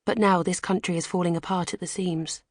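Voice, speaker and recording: A woman reading a scripted audiobook in a measured, solemn and breathy way. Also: lowish voice, fairly even intonation, dry recording, studio quality. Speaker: audiobook narrator. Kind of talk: scripted dramatic audiobook narration.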